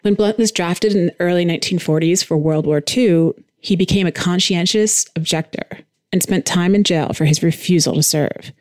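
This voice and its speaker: A woman with a fairly low voice reads scripted podcast narration as the host. The recording is dry and close, with very little room sound. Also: a little mellow, no room sound.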